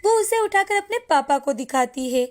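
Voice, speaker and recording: A woman's voice: sits high, lively intonation, normal speed. A woman narrating a scripted moral story as the storyteller.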